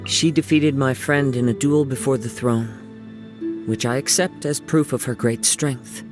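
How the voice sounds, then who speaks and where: A man voicing a gentle young wandering samurai in a video game, soft-spoken and reflective, with lyrical, poetic phrasing. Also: medium pitch, fairly even intonation, unhurried, lightly acted. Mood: solemn. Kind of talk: video game character voice lines. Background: music.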